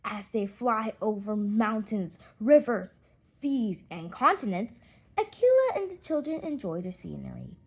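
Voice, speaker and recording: A woman narrating a children's audiobook in an expressive storytelling manner. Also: mid-range voice, measured pace, gravelly, slightly rough.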